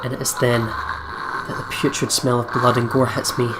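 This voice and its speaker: A man's voice: mid-range voice, level tone, moderate pace, very noisy. A man hosting a let's play, reading visual novel narration aloud in a dramatic storytelling manner.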